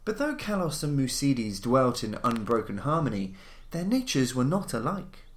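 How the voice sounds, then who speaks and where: A man reading gothic horror stories as a podcast narrator, with a formal, measured, dramatic storytelling delivery. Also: medium pitch, big pitch swings. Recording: roomy, echoing voice recording.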